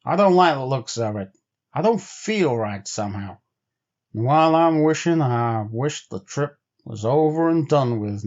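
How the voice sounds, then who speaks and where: A man's voice: a little high, darkish tone, muffled recording. A man voicing an uneasy, grumbling frontier sled driver with folksy speech, in a storybook reading.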